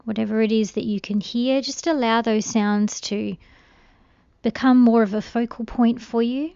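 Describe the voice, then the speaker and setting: A woman guiding a meditation in a gentle, soothing, unhurried way. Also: on the high side, fairly even intonation, vocal fry, clear, resonant tone, dry recording, lo-fi sound. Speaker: meditation guide. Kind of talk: guided meditation.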